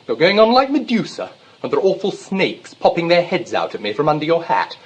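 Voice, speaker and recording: An actor playing a temperamental, lovesick young upper-class English gentleman in a stage-style comedy, gushing and petulant by turns. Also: a little high, huge pitch swings, brisk, husky, heavily acted. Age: young adult.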